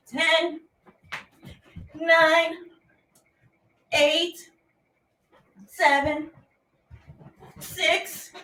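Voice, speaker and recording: A woman's voice: extremely high pitch, pushed and bright, rough recording. A woman hosting a children's lesson as a teaching artist, speaking playfully and animatedly to viewers at home in simple, repeated phrases.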